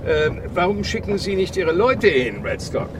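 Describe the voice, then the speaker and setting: A man's voice: sits high, very animated, normal speed. A man in a radio drama playing a dry, learned French police deputy who interprets with wry, formal precision. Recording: noisy outdoor street ambience.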